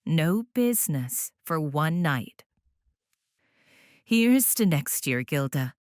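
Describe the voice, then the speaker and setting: A woman's voice: low-pitched, very animated, slow, a little mellow, no room sound, clean studio sound, full character voice. An actress playing a cheerful, friendly married mother.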